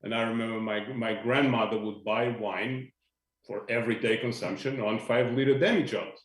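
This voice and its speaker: A man's voice: fairly low pitch, fairly even intonation, normal speed. A man from Portugal, speaking as a panelist in a video-call discussion, thoughtful and searching for words with a light joking touch. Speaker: industry panelist. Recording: echoey room.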